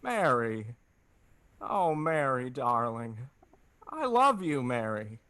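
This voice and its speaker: A man's voice: mid-range voice, big pitch swings, slow pace, fairly bright tone, clear, resonant tone, no room sound. A man playing a stubborn, tender-hearted young small-town dreamer in 1940s radio drama style, with a hesitant, stammering delivery. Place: indoors, recorded over a video call.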